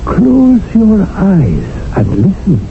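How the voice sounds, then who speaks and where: A man's voice: high-pitched, huge pitch swings, extremely slow, slight background noise, heavily acted. An actor playing a wry, scheming male household servant who narrates in an ornate, theatrical 1970s radio drama style. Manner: sly, ornate, theatrical delivery. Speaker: narrator and manservant. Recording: tinny old radio broadcast with music.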